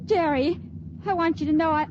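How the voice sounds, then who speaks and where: An actress playing a tender, brave young woman facing her end in a vintage radio drama, speaking haltingly and earnestly. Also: extremely high pitch. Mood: tender, emotional. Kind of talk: 1950s radio drama acting. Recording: noisy, muffled vintage radio broadcast.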